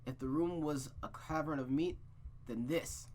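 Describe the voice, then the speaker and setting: A man's voice: a little high, unhurried, a little mellow, slight background noise. A man reading a visual novel aloud in a suspenseful storytelling style, with playful, casual asides.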